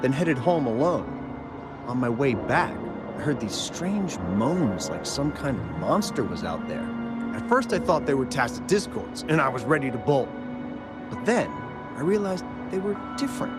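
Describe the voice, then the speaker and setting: An actor playing a friendly, excitable miner who chattily recounts what he witnessed in a video game. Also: mid-range voice, moderate pace, natural voice. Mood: friendly, chatty. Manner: animated, chatty, hesitant in places. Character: friendly excitable male miner, eager eyewitness. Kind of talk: video game story dialogue. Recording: music clearly behind the voice.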